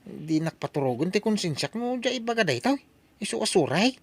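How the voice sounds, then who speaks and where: A man's voice: high-pitched, very animated, fairly quick, creaky, no echo, heavily acted. A man with an Ilocano accent acting as a remorseful, emphatic drinking friend in an audio drama. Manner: apologetic, emphatic. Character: remorseful drinking friend confessing to his pal. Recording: noisy background ambience.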